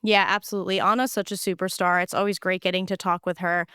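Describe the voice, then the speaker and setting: A woman's voice: lowish voice, level tone, fast talker, sharp tone, clear, resonant tone, dry recording. An enthusiastic woman hosts a podcast interview and walks through research findings. Her voice is clean and resonant, recorded dry and close. She sounds upbeat and eager.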